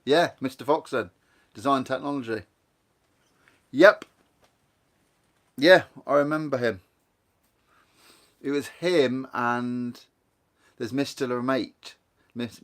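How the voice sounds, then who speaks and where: A man with a soft Guernsey accent chatting loosely on a live stream. He rambles from story to story and stops to read out viewer comments. His voice sits at an ordinary middle pitch. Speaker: livestream host. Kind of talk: casual live-stream chat.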